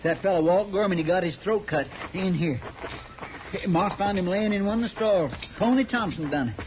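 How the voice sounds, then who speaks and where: Man as high-voiced earnest western deputy. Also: normal speed, lightly acted. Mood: urgent, worried. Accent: rural American western. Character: earnest, fretful frontier marshal's helper, male, folksy. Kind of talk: 1950s radio western acting. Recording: dull, noisy 1950s radio recording.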